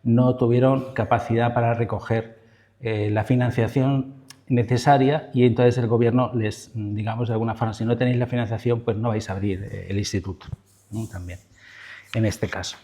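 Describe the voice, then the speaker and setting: A man's voice: mid-range voice, fairly even intonation, normal speed, dark tone. A man with an accent from Spain giving a history lecture as a professor, in a discursive, semi-improvised way with asides and checking tags. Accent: Spain Spanish.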